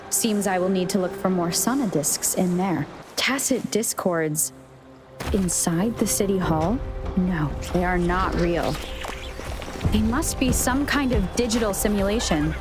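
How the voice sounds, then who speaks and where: A woman voicing a calm, analytical young adventurer in a video game, musing to herself in a measured, matter-of-fact way. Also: medium pitch, natural voice. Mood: wary, analytical. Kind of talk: video game voice acting, inner monologue.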